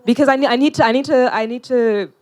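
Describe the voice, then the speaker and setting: Young woman panel guest, lively conversational delivery, handheld mic, outdoors. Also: sits high, fast. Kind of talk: casual panel discussion. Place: outdoor park pavilion.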